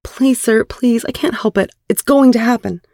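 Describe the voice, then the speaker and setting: A woman's voice: a little high, moderate pace, mellow, no echo, clean studio sound, pitched above the performer's own voice, natural voice. A woman voicing a submissive, eager, pleading female lover in an audio erotic story. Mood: desperate, pleading.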